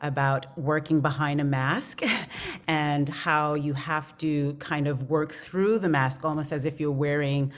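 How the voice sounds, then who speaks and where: A woman with a distinctly low voice talks as a guest actor on a panel. Her delivery is informal and reflective, thinking aloud as she goes. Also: fairly even intonation, unhurried. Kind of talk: casual, reflective panel talk.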